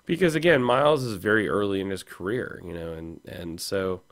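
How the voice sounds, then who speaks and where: A man with a slightly hoarse, rough voice, co-hosting a casual podcast chat about comics and rambling with fillers and restarts. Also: low-pitched, a little fast. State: hoarse, losing his voice. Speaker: podcast co-host.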